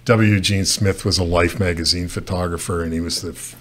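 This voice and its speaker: A man's voice: low-pitched, fairly even intonation, brisk, a bit bright, slight background noise. A man in his sixties from Canada, a photojournalist guest talking casually at a studio desk mic, rambling with many restarts and fillers. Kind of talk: casual interview talk. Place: studio set with brick wall. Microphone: desk mic on stand.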